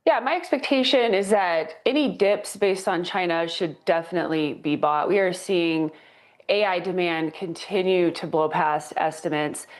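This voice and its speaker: A woman's voice: lowish voice, moderate pace. A woman analyst answering as a guest in a financial TV interview, emphatic and returning to her key points. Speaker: tech stock analyst guest.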